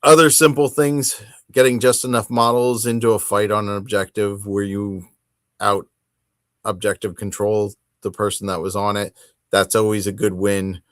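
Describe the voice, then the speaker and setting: A man hosting a hobby podcast, talking casually on his own in a rambling, halting and self-deprecating way. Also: fairly low pitch, little pitch movement, fairly bright tone, no room sound, studio quality. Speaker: host of a tabletop wargaming podcast. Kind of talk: casual solo chat to camera.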